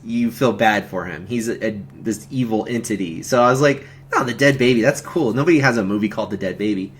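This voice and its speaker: A man with a mid-pitched voice hosts a casual movie commentary. He rambles in slangy, conversational language, often halting as he gathers the next detail. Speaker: host of a film commentary stream, amateur horror filmmaker. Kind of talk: casual film commentary chat.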